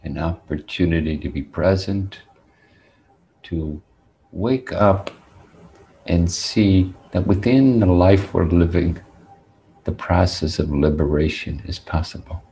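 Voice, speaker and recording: A man teaching meditation, speaking in a deliberate, measured way with long pauses as he guides listeners. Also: low voice, dark tone, low-quality recording.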